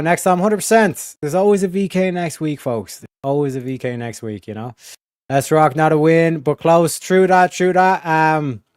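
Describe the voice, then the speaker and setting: A man rambling to his stream chat late at night, slangy and profane, padding his talk with fillers. His voice sits a little high and sounds smooth and clear. The recording is dry and close, with no room sound. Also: darkish tone, no echo. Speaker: livestream host. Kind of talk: casual livestream chat.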